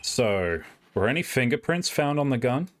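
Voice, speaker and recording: Man as wry, challenging male attorney in video game fan dub. Mid-range voice, lively swings, pointed delivery. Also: mid-range voice, moderate pace, close to an everyday voice. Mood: probing. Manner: pointed, theatrical questioning. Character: sardonic, challenging male defense lawyer.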